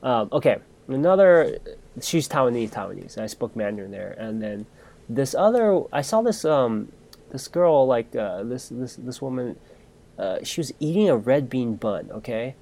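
A man's voice: mid-range voice, lively intonation, normal speed, gravelly, mellow. A man in the middle register tells a long personal story on a podcast, chatting casually. He talks freely and loosely, often breaking off and starting his sentences over.

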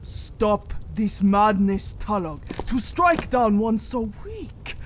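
Protective noble warrior, high-pitched, firm, formal, fantasy audio drama. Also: male voice, lively intonation, slow, slight background noise. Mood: commanding. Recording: music under the voice.

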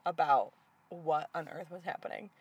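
A woman's voice: low-pitched, moderate pace, husky, no echo. A woman in casual conversation, retelling a film's scenes with lots of quoted dialogue and filler words. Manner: animated retelling with quoted lines, frequent "like". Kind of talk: casual movie recap chat.

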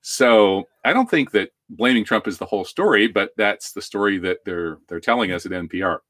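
Low-voiced American man hosting news commentary. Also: expressive, normal speed, fairly bright tone, no echo, clean studio sound. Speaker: host of a news commentary show.

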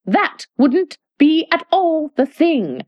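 A bossy old queen who snaps out commands and swings into high, drawn-out calls, as a woman puts her on. Her voice leaps widely, and the recording is dry and close. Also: wildly swooping pitch, no room sound, lo-fi sound, exaggerated voice. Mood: commanding. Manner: decided, commanding. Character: stern, bossy elderly Red Queen.

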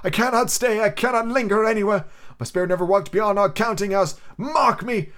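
A man voicing a tormented old male ghost in chains, speaking in a mournful, drawn-out lament. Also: high-pitched, fairly bright tone, voice pushed up. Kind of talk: audiobook character reading.

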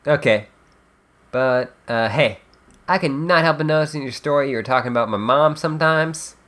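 Man as teenage girl, slangy, flippant, playfully dramatic, casual wry delivery, medium pitch for a man. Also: moderate pace, a bit bright, natural voice. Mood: curious, musing. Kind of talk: character dub reading of a webcomic.

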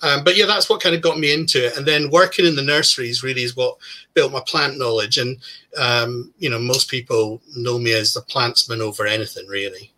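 Scottish-born guy in his 40s, super bright punchy voice, easygoing and joking about himself. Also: mid-range voice, brisk. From Scotland. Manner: relaxed, self-deprecating, rambling with fillers. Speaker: podcast guest, plantsman. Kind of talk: casual interview talk.

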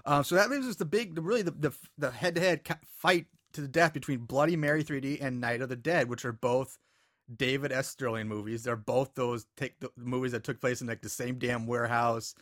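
A man's voice: medium pitch, brisk, a bit bright, no room sound, clean studio sound. A man hosting a podcast in a casual, profane chatting style. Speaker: podcast host. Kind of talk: casual chat about bad horror movies.

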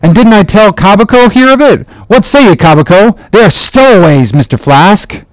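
Know-it-all deckhand, male reader acting: raised pitch, emphatic, unbothered. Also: animated, moderate pace, no echo, poor audio. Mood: knowing, unworried. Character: unworried, know-it-all sailor answering his officer.

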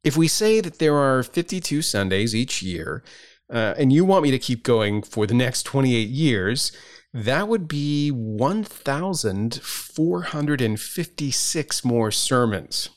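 A man in his fifties, a pastor, answering listener questions in a measured, explanatory way with light humor. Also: mid-range voice, lively intonation. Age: 50s.

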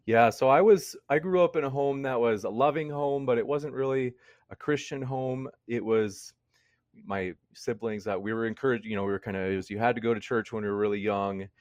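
A man's voice: medium pitch, fairly quick, a little mellow, no echo, lo-fi sound. A man in his mid-thirties speaking as an interview guest in a conversational, reflective way, thinking aloud. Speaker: interview guest, debate channel host. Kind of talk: conversational personal testimony.

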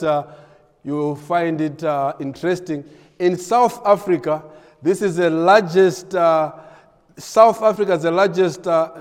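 Malawian pastor with halting speech. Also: male voice, sits high, darkish tone, long reverb tail. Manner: hesitant, many filler pauses. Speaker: pastor and missionary. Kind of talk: ministry update talk.

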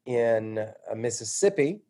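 A man's voice: mid-range voice, animated, takes it slowly, mellow. An American man speaking as an interview guest, telling personal stories in a reflective, self-deprecating conversational way. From suburban Atlanta, Georgia, United States.

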